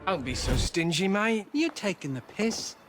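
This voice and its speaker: Grousing English game thug, working-class, fairly high and animated, under loud music. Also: male voice, normal speed. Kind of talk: video game drama acting.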